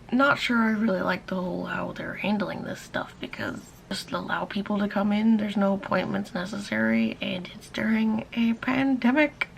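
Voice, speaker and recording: A tired young woman talks casually to her camera, rambling and grumbling with a bit of swearing. Her voice is raspy and full of gravelly fry. A faint hiss sits under the recording. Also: mid-range voice. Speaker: vlogger. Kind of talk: casual vlog chat.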